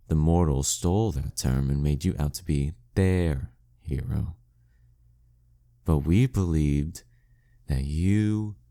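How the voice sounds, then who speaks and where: Demon king villain for audio roleplay, male actor: very low, unhurried, smug and seductively commanding. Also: mellow, exaggerated voice. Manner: teasing, condescending, menacingly courtly. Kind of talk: flirty audio roleplay monologue addressed to the listener.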